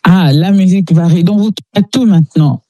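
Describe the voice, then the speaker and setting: A woman's voice: fairly low pitch, brisk, mellow, dry recording. A woman hosting a radio interview in a studio, introducing her guest and putting questions.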